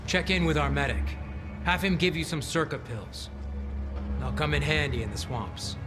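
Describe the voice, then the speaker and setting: A man playing a gruff, blunt, foul-mouthed military commander in a video game cutscene. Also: a little high, expressive, moderate pace, music in the background, natural voice. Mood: firm, businesslike.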